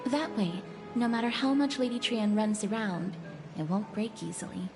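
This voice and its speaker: An actress playing a gentle, practical female travelling companion in a video game. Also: on the high side, lively intonation, moderate pace. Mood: thoughtful. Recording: game music behind.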